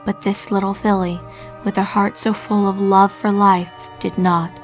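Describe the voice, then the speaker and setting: A woman reading a dark story aloud in a steady, solemn voice at a middling pitch. Faint music plays under her narration. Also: a little slow.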